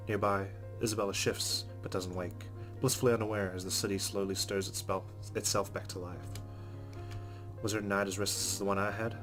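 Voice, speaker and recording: Male let's player, lowish voice, measured and subdued reading of game narration, music bed underneath. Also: flat delivery, fairly bright tone. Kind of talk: reading visual novel narration aloud.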